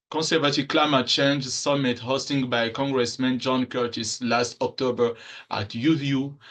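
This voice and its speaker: A young man from the Republic of Congo, a student speaker, giving a prepared formal speech in a measured way with occasional restarts. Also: mid-range voice, a bit bright.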